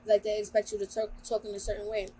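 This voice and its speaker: A woman's voice: medium pitch, monotone, fast talker, dark tone. A young woman co-hosting a podcast who talks bluntly in slang and acts out what other people say. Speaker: podcast co-host. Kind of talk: casual chat. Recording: virtual call, faint background noise.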